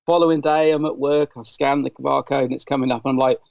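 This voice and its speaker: A middle-aged British man with a slightly croaky, dry throat, chatting casually and enthusiastically as a podcast host. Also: medium pitch, steady tone, fast, a little mellow, no room sound, dull audio. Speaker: podcast host reviewing Doctor Who. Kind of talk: casual chat.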